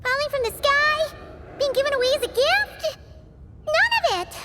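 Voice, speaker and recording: Squeaky little fairy sidekick, talks about herself in third person, bouncy, game cutscene. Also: female voice, expressive, moderate pace, very noisy. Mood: curious. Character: tiny floating fairy companion, chirpy and curious. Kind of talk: video game cutscene voice acting.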